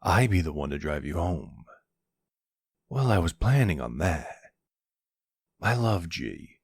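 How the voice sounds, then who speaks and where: Man playing a gravelly, very low-voiced mafia boss. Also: very animated, dry recording, studio quality, exaggerated voice. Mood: flirtatious, amused.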